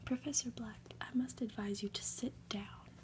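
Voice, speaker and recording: A woman's voice: on the high side, fairly even intonation, normal speed, close to an everyday voice. A woman voicing a condescending male prime minister in a formal, patronising whisper for an ASMR storybook reading.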